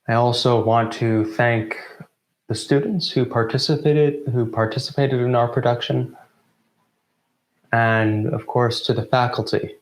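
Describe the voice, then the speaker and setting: A man in his twenties giving a formal, deliberate welcome speech as co-founder of a student theatre group. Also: mid-range voice, steady tone. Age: 20s. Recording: roomy, echoing sound.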